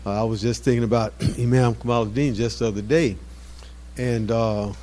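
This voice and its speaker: A man in his seventies giving an informal off-the-cuff address to his community, halting and often repeating words as he searches for phrases. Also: medium pitch, fairly even intonation, warm tone. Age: 70s. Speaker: mosque community elder speaking at an anniversary event.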